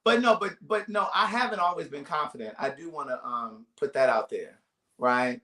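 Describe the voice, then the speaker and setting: Bright, animated man hosting live. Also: a little high. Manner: lively, animated, quick to cut in and tease. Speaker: host. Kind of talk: live-stream chat show. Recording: roomy echo on the host's mic.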